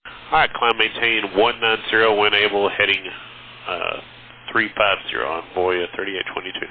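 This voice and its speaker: A thin radio signal with faint noise carries a man's low voice. He is an airline pilot reading back instructions in a casual way. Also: steady tone, normal speed, narrow, tinny audio. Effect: radio transmission.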